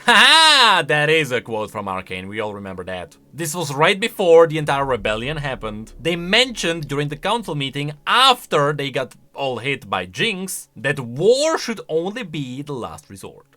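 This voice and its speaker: A man giving casual, opinionated reaction commentary on video game lore in an animated, conversational way. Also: on the high side, normal speed, few pauses. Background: faint music.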